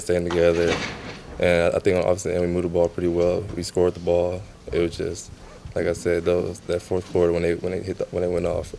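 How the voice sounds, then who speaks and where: Gravelly, flat-voiced young man. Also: a little hiss or hum. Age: young adult. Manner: low-key, halting answers with many fillers. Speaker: basketball player. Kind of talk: post-game locker room interview. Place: locker room.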